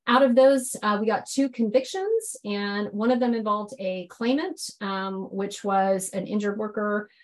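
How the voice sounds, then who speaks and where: A woman giving a businesslike slide presentation on enforcement figures, a little hoarse from a head cold, with frequent ums. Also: mid-range voice, measured pace, few pauses.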